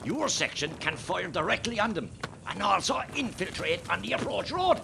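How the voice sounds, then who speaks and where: Man acting a forceful, gravelly Irish rebel commander. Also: sits high, very animated, normal speed, noisy background, heavily acted. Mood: forceful, urgent. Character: forceful, impatient Irish rebel column commander briefing his men. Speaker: actor in a radio play. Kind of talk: radio play acting, shouted military orders.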